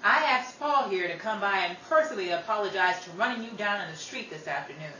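A woman's voice: medium pitch, animated, fast, bright, forceful tone, muffled recording. A woman playing a hot-tempered, protective older sister in a 1930s radio drama, brash, scrappy and slangy. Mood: brisk, bossy. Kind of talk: old-time radio drama acting. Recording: roomy, distant mic, faint noise.

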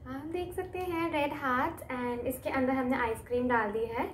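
A woman's voice: high-pitched, fairly even intonation, moderate pace. A woman in her twenties with an Indian accent, chatting casually through a home cook-along vlog, switching between Hindi and English. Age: 20s. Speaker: home cooking vlogger. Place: indoors at home, small curtained room. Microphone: phone camera a few feet away. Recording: echoey room, background noise, phone camera.

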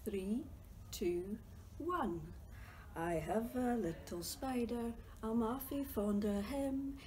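A woman's voice: a little high, slow pace, a little mellow, slight background noise. Scottish woman reading aloud to little ones. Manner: playful sing-song reading, drops to whispers. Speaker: library storytime leader. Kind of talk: reading a picture book and singing to young children. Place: library reading corner.

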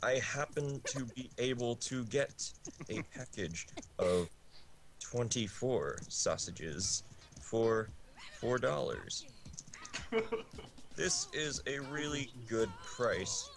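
A man reads comic fiction aloud in a low, deadpan voice. He takes his time, stretching phrases and pausing often, with prominent game music playing behind him. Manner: deadpan, drawn-out phrasing with long pauses. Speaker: guest reader on a game stream. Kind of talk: deliberate comic reading of absurd fiction. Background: loud video game music.

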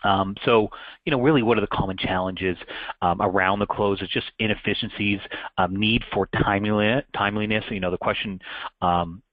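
A man's voice: lowish voice, fairly even intonation, moderate pace, dry recording. A Canadian man in his thirties presenting a business webinar in a conversational way, with frequent fillers and restarts. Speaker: channel account manager presenting a webinar. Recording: dry, muffled web call audio.

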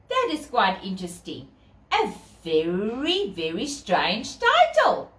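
Female children's storyteller, South African accent, theatrical sing-song delivery, high-ish unhurried voice, roomy sound. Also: fairly bright tone. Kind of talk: reading a picture book aloud to children, with asides. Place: small bedroom, indoors. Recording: roomy echo from camera distance.

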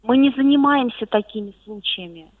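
A woman's voice: fairly high pitch, expressive, normal speed. A woman answering a call as a clinic receptionist, formal and firm, repeating herself, over a telephone line. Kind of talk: phone conversation. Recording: muffled narrow telephone line.

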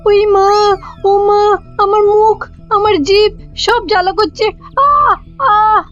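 A woman's voice: very high-pitched, steady tone, slow pace, a bit bright, heavily acted. A woman voicing a bossy, hot-tempered middle-aged mother-in-law in a comedy cartoon, with a Bengali accent and an exclamatory manner. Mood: pained, frantic. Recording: dull, lo-fi audio with music behind.

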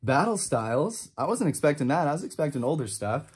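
A man in his twenties giving casual, enthusiastic pack-opening commentary, chatty and quick to drop short exclamations. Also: on the high side, sing-song, slightly rough. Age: 20s. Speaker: trading card channel host. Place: indoor room with shelves of collectibles.

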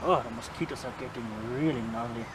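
A man's voice: medium pitch, fast. A man in his thirties demonstrating a knife outdoors in a forest, chatting casually and chuckling between remarks, recorded on a camera mic. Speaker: bushcraft vlogger. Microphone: handheld camera mic. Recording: outdoor camera audio with background noise. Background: forest ambience.

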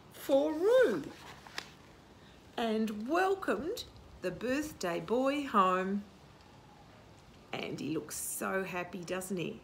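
Slow, animated older woman storyteller. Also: mid-range voice, gravelly, a little hiss or hum. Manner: animated, sing-song, asking the listener questions. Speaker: children's storytime host. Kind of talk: reading a picture book aloud to young children. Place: outdoor garden patio. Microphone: camera a short distance away.

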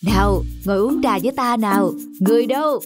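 A woman plays a self-important, greedy magistrate in a cartoon folk tale. The voice is high for an official, with music playing clearly behind it. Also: brisk, lightly acted. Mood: falsely welcoming. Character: pompous, lazy, greedy male district magistrate.

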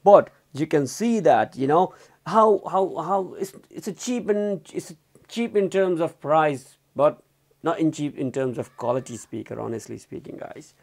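A man's voice: a little high, lively intonation, unhurried, a little mellow. A man giving a casual, unscripted product demonstration as a reviewer, repeating and rephrasing as he explains. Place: small indoor room at a desk.

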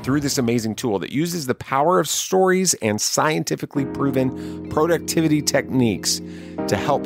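A man with a medium-pitched voice narrates as a podcast host. His delivery is scripted, and prominent, loud guitar music runs under his words.

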